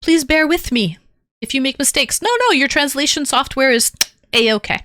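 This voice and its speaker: Woman streamer, bright tone, slightly high voice, dry close mic, candid rambling chat. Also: moderate pace, no room sound. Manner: candid, rambling storytelling with many fillers. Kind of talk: casual chat to stream viewers.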